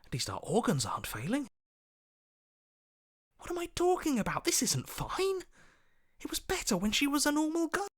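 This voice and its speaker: An actor playing a paranoid, jumpy inner voice in a video game, speaking in a frantic, anxious and agitated way. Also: male voice, extremely high pitch, huge pitch swings, normal speed, pauses often, heavily acted. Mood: panicked. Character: panicky, paranoid male inner voice, jumpy and pessimistic.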